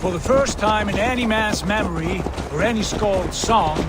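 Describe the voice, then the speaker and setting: A proud Norse warrior acted by a man speaks. His phrasing is measured and declamatory, as in a game drama, under loud clattering outdoor ambience. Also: high voice, very noisy, close to an everyday voice. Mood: measured, admiring. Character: proud, reflective Norse Viking warrior, male. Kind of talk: video game dramatic acting.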